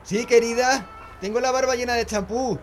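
A man's voice: extremely high pitch, fairly even intonation, normal speed, slight background noise. A man playing a meek, vain husband in a radio drama, fussy, plaintive and coaxing. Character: vain, meek, submissive husband obsessed with his looks.

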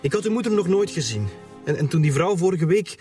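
An actor plays a young Flemish man begging his fiancée's forgiveness in a radio drama. His voice is fairly high and jumps about as he stammers apologies. Music is clearly heard behind him. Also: lively intonation, fairly quick, darkish tone, lightly acted. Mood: pleading, guilty. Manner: stammering, pleading. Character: apologetic, pleading young fiancé caught cheating.

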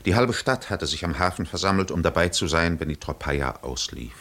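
Deep-voiced, measured male radio narrator. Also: lively intonation, a bit bright. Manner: measured, deliberate phrasing with pauses. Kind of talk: literary scripted narration in a 1950s German radio drama.

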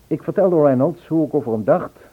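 An actor playing an earnest, self-absorbed, worldly middle-aged composer in a radio drama, on a muffled, tinny old recording. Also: male voice, on the high side, expressive, a little fast. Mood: earnest, indignant.

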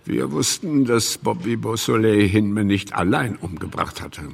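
An actor playing a stern male homicide investigator in a radio drama, with a gravelly voice. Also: fairly low pitch, normal speed, exaggerated voice. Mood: grim, stern.

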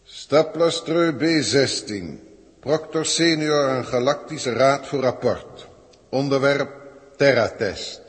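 A man's voice: mid-range voice, slow, close to an everyday voice. Man acting a haughty alien overseer, cavernous reverb. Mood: solemn, reporting. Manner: pompous, precise, bureaucratic. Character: haughty, irritable senior alien overseer judging primitive humans. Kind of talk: 1960s science-fiction radio play acting. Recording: long reverberant hall, old radio recording.

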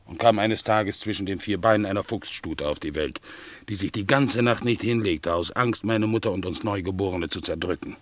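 A man playing a devoted, romantic and anxious bulldog in a 1960s German radio play, speaking lyrically with dramatic swells and hushes. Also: deep voice, normal speed, no room sound, close to an everyday voice. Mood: proud, earnest.

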